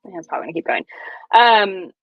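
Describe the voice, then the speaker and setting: A woman's voice: on the low side, sing-song, quick pace, vocal fry, dry recording, highs cut off. A woman hosting a casual, informal discussion among fellow readers. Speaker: host. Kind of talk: casual chat.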